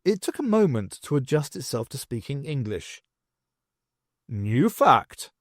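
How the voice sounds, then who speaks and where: Male narrator for sci-fi audiobook: lively, expressive, slightly high voice. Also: moderate pace, dry recording, studio quality. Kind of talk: scripted narration of science fiction.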